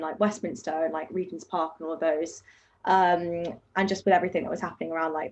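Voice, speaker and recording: A woman introduces herself on a video-call panel. She talks casually and fills her sentences with "like" and "kind of". Her voice sits on the lower side for a woman. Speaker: campaign founder, panelist.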